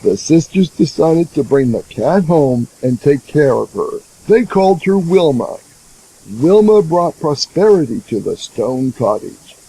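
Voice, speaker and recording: A man narrating a scripted fairy tale as a storyteller, in a measured way. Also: on the high side, creaky, mellow, husky, rough recording. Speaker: author and narrator.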